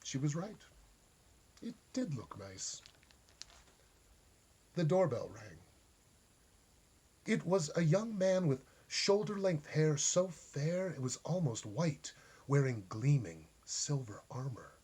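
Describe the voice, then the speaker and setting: A man reading a short story aloud as an expressive narrator. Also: on the high side, takes it slowly, pauses often, breathy edge. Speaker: story reader.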